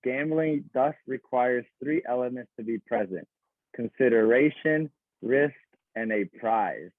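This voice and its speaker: Young son co-host, playful fast banter, thin phone-like dry sound. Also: male voice, mid-range voice, no echo. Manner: quick, laughing, teasing. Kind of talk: casual chat.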